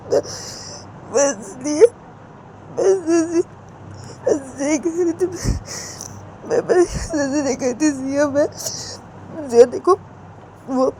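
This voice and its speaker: A woman's voice: dark tone, slight background noise, poor audio. A woman voicing a distraught young woman who cries and pleads through sobs. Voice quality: high strained sobbing voice. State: crying.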